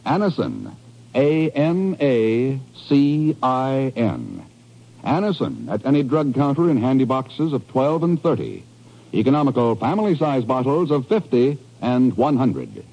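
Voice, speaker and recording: A man's voice: medium pitch, big pitch swings, a little slow. A man announcing on 1950s radio with emphatic, polished delivery and dramatic scripted narration, over orchestral music. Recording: muffled old radio recording with loud music. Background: loud orchestral music.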